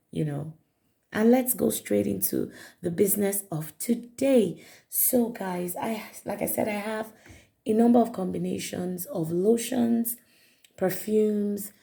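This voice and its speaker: A woman reviewing perfumes in a chatty vlog, her voice raspy and hoarse from a cold. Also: mid-range voice, mellow. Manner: chatty, repeats herself, many fillers. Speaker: perfume vlogger. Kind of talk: casual product review to camera.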